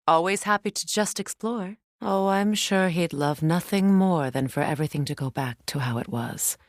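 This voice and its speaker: A woman's voice: low voice, no room sound, natural voice. A proud Norse goddess from a fantasy video game, played by a woman. She is a middle-aged warrior and mother who carries grief. Her words are formal and earnest, in a close, dry recording. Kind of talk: dramatic game dialogue.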